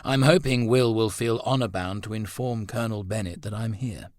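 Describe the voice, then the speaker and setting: Man voicing a polite reserved gentleman, low voice, courteous, dry audiobook sound. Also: normal speed, no echo, lightly acted.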